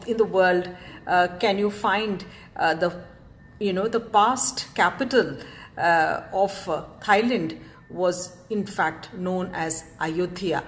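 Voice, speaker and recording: A woman introducing a cultural program in a formal welcome speech, pausing often with fillers. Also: medium pitch, a little hiss or hum. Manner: hesitant, many filler pauses.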